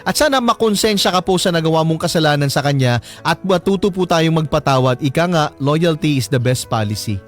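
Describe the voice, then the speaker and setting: A man's voice: on the high side, animated, brisk, faint music behind. A man with a Filipino accent hosting a casual radio advice show with joking banter. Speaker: radio host.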